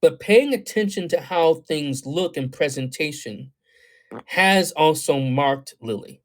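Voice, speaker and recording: A man's voice: on the high side, animated, slow pace, poor audio. A man giving astrology readings on a livestream, talking in a thoughtful, wandering way with many fillers, reading viewer comments aloud and reacting to them. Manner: meandering, thoughtful, many ums and you-knows. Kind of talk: livestream talk answering chat.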